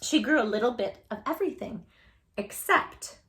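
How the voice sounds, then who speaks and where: A woman hosting a children's storytime, speaking in an animated, gushing way straight to young listeners as she chats and reads aloud. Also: fairly high pitch, normal speed. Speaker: children's storytime host and creator of the channel. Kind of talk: children's read-aloud and direct-to-viewer chat.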